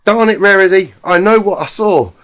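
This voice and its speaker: A man's voice: high-pitched, a bit bright, pitched above the performer's own voice. Man voicing a stammering, distraught country pony girl. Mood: insistent, upset. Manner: halting, stammering, folksy.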